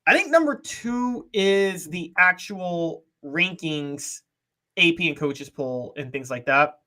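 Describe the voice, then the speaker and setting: Co-host, man, 30s, higher voice with a bright tone, opinionated analyst. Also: lively intonation, slow pace. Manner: opinionated, analytical, explains at length. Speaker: sports talk co-host. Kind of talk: casual sports talk.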